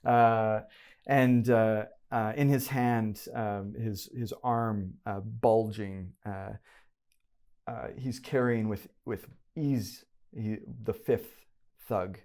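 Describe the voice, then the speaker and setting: A man narrating a tabletop game as game master, haltingly, searching for his words as he improvises. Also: fairly low pitch, fairly even intonation, a little mellow.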